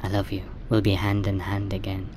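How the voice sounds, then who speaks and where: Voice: low voice, completely flat delivery, a little fast, close to an everyday voice. An actor playing a grieving, defiant wife and mother in a radio play, solemn, poetic and impassioned. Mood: tender resolve. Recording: noisy ambience around the voice. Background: ambient noise.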